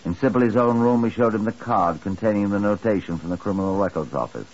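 An actor playing a sober Scotland Yard chief inspector, narrating a 1950s radio drama in a measured, grave, dramatic manner. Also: male voice, fairly low pitch, darkish tone, slightly rough. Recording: muffled, hissy 1950s radio recording.

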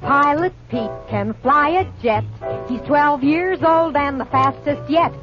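Very high woman singing an old jingle. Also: measured pace. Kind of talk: 1950s advertising jingle singing. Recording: dull recording under clear music.

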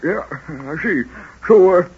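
High-pitched, gleeful rustic crony. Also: male voice, animated, slow pace, a little mellow. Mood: gleeful, boastful. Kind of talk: old-time radio drama acting. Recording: dull, noisy old radio recording.

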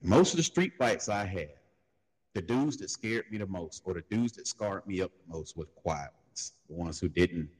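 A man in a casual panel talk, laid-back and storytelling, who jumps into loud high mocking voices when he quotes people. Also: animated, moderate pace. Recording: long hall reverb.

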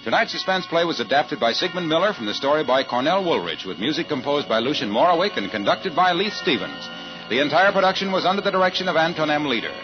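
Animated American 1940s radio announcer, male. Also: on the high side, fast. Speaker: commercial announcer. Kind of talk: 1940s radio announcing, scripted sales pitch. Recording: muffled 1940s radio broadcast, music.

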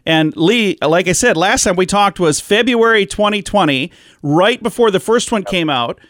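A man's voice: high-pitched, lively intonation, moderate pace, fairly bright tone, no echo, clean studio sound. A man hosting a radio show, friendly and jokey while interviewing a guest and swapping trivia.

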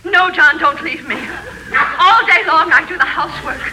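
A woman's voice: very high voice, measured pace. A woman acting an overwrought, imploring housewife in a 1940s radio soap opera. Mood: desperate pleading. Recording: noisy 1940s radio recording with audience.

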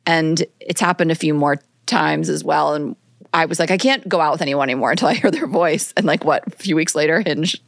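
A woman's voice: deep voice, brisk, vocal fry, dry recording, clean studio sound. A woman hosting a podcast, chatty and laughing as she tells a dating story. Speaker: podcast host. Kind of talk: casual chat.

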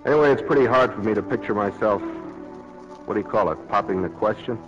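An actor playing a wry, tender, self-doubting bachelor in 1940s radio drama style. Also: male voice, lowish voice, fast talker, natural voice. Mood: self-deprecating. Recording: muffled 1940s radio broadcast, music behind.

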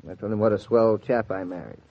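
An actor playing a gentle, reticent, solemn young husband in a 1940s radio drama. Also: male voice, fairly low pitch, quick pace, vocal fry. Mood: subdued, tender. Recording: dull vintage 1940s radio recording.

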